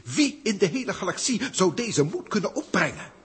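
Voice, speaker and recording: Grandiose senior alien, highish, swooping, theatrical, in long reverb, sci-fi radio play. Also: male voice, big pitch swings, normal speed, fairly bright tone, slightly rough, exaggerated voice. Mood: astonished, agitated. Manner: theatrical, emphatic, emotional. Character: passionate senior alien overseer, male, grandiose. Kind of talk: 1960s Dutch science-fiction radio acting. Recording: long echoing reverb, old radio recording.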